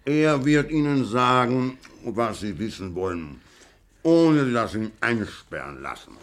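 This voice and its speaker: An actor in a classic German radio play playing a self-assured police commissioner, pompous, deliberate and placating. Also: male voice, mid-range voice, sing-song. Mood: placating, sly.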